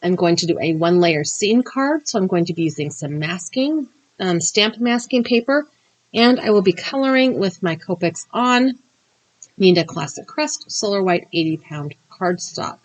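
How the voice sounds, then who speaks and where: A woman's voice: on the low side, lively intonation, normal speed. Scratchy-voiced woman hosting a craft chat. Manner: chatty and explanatory, with occasional ums. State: slightly scratchy voice after a cough. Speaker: crafting and true crime channel host. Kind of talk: crafting tutorial then read-out history narration.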